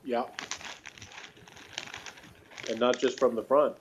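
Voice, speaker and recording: A man in a casual, unscripted review chat, talking in a halting, jokey way as a co-host. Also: mid-range voice, steady tone. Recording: muffled lo-fi audio, noticeable noise.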